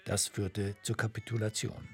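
A man reading scripted documentary narration in an even, measured way. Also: fairly low pitch, faint music behind.

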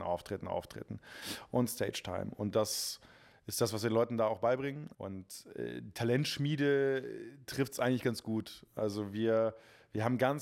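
A man's voice: lowish voice, a little mellow. A man with an Austrian German accent, host of a comedy club, giving an eager interview answer.

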